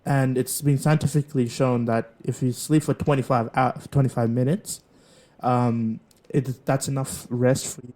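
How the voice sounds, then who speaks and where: A man's voice: medium pitch, normal speed. Laid-back young man talking about flying. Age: young adult. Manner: relaxed, laughs easily. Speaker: trainee pilot guest. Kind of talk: casual podcast chat.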